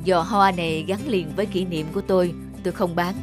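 A woman playing a gentle, devoted middle-aged florist in an animated drama, speaking softly and reflectively. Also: lowish voice, fairly quick, close to an everyday voice. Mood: wistful. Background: background music.

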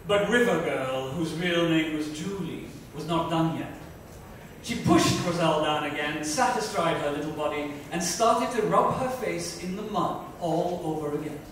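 A woman's voice: sits low, normal speed. Woman reading aloud, very echoey. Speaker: book reader. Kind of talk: dramatic reading of literary fiction. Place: indoor club lounge. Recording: echoey room, distant mic, faint noise.

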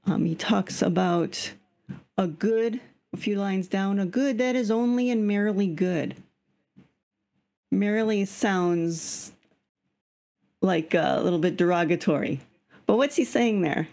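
A woman's voice: medium pitch, measured pace, creaky, long pauses, a little mellow. Slightly scratchy woman leading a video-call reading group. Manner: explains patiently, asks the group questions. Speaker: study group leader. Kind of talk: leading an online reading discussion.

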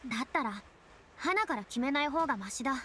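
A woman's voice: sits high, lively intonation, a little fast, pushed and bright, a little hiss or hum. An actress voicing a proud, theatrical young woman, a retired star with boyish turns of phrase, in an anime-style game. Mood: resolute, wistful. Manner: haughty, dramatic, speaks with boyish self-reference. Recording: studio voice over game music.

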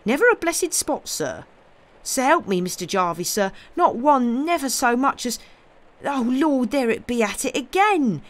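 A man's voice: high voice, lively intonation, measured pace, pitched above the performer's own voice. A man voicing a frightened young servant with an English rural dialect, exclaiming and rambling in an audiobook story. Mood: terrified, agitated. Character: frightened rustic young servant, gabbling and superstitious.

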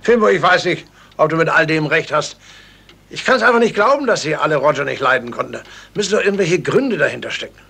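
An actor playing a sleuth's loyal, doubting confidant in a 1970s German radio crime drama. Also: male voice, on the high side, expressive, quick pace, vocal fry, sharp tone, slightly rough, exaggerated voice. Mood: doubtful. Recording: slightly noisy old radio recording.

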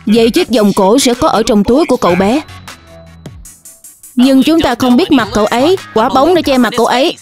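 A woman's voice: fairly high pitch, lively intonation, a little fast, darkish tone. A woman reading scripted cartoon dialogue and narration as a dub voice artist. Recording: music under the voice.

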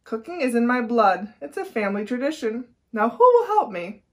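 Woman playing an eager, high-pitched storybook rooster. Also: big pitch swings, moderate pace. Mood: excited, proud. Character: eager, bossy big brown rooster who dreams of cooking.

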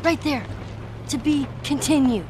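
A woman's voice: sits high, measured pace, background noise. An actress playing a feisty, curious teenage girl survivor in a video game, with an American accent and a sassy, playful manner. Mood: chatty, curious. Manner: sassy, foul-mouthed, playful. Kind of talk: cinematic video game acting.

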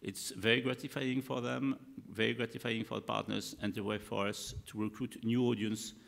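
A man in his fifties with a French accent, a native French speaker presenting on a conference panel in halting English, searching for words. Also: mid-range voice, flat delivery, creaky, a bit bright. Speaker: media executive, panel speaker. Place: conference hall, indoors. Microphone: table gooseneck microphone. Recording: echoey hall, panel microphone.

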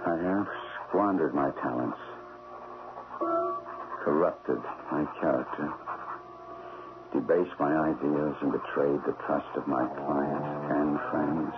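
A low-voiced, desperate ruined financier. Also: male voice, fairly even intonation, slow pace, long pauses. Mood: defeated, grave. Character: desperate ruined male investment expert gambling away clients' money. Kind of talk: 1970s radio drama acting. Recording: muffled old radio recording, loud music.

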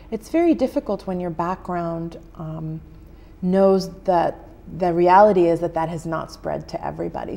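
An American woman in her thirties, a journalist answering interview questions in a TV studio, thoughtful with frequent pauses, on a lapel mic. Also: lowish voice, creaky, mellow. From United States. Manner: thoughtful, many "um" pauses, gestures while talking. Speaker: journalist guest. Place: TV studio set.

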